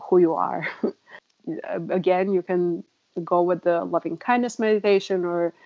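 A middle-aged woman from Bulgaria giving reflective advice in a conversation, laughing softly between points. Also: lowish voice, steady tone, unhurried, no room sound, dull audio. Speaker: guest adviser.

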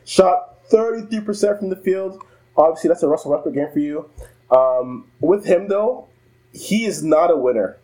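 A young man with a mellow, warm voice co-hosts a casual basketball podcast. He talks bluntly, swears freely and laughs often. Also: high voice, expressive, moderate pace, low-quality recording. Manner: blunt, profane, laughs easily. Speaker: podcast co-host. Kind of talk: casual sports chat.

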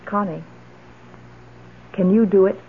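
An actress playing an elderly jilted wife, wistful, tipsy and resolute, in a 1970s radio drama. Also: female voice, medium pitch, fairly even intonation, slow, gravelly, breathy edge, phone-quality sound, exaggerated voice. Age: older. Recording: band-limited old radio drama recording.

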